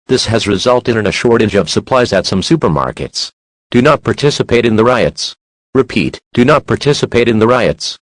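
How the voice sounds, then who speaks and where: Male alert announcer, formal, stern, scripted, mid-pitched, dry close recording. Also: lively intonation, moderate pace, dry recording, poor audio.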